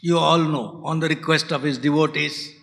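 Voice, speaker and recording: A man with a Tamil mother tongue gives a reflective spiritual talk in a bright, fairly high voice. He speaks haltingly and often lets his voice fall to a soft hush. The sound rings with the long reverb of a lecture hall. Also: steady tone, gravelly. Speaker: speaker at a spiritual centre's founders day.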